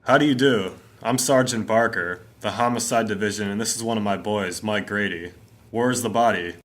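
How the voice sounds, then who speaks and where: A man playing a brisk, authoritative homicide sergeant with clipped, matter-of-fact delivery in a 1950s-style radio mystery. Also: on the low side, fairly bright tone, close to an everyday voice. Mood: brisk, businesslike.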